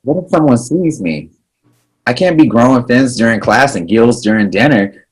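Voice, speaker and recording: A reader playing a husky anxious teen boy. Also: mid-range voice, animated, normal speed, poor audio. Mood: earnest. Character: anxious teenage boy hero. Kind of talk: table read.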